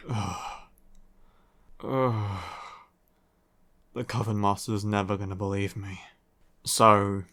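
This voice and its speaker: A man's voice: lowish voice, fairly bright tone, clear, resonant tone. A man playing a grumpy, weary young vampire in an audio roleplay, curt and sardonic with heavy sighs. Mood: exasperated, incredulous. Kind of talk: roleplay audio acting, ASMR.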